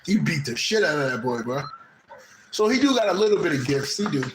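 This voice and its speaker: A man's voice: a little high, sing-song, a little fast, roomy sound, slight background noise, rough recording. A man with a Midwestern American accent chatting loosely with a caller, laughing often and talking in heavy slang with lots of swearing. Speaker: host of a spiritual channel. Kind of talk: casual chat with a live caller.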